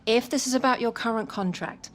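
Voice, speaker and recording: A woman playing a firm, measured football club executive in a video game cutscene. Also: fairly high pitch, slight background noise, natural voice. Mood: firm, businesslike.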